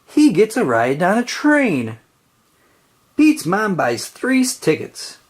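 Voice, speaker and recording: A woman reading a children's picture book aloud in a gentle storytelling way. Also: slightly rough. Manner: slow, gentle storytelling with lively pitch. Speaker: storybook reader.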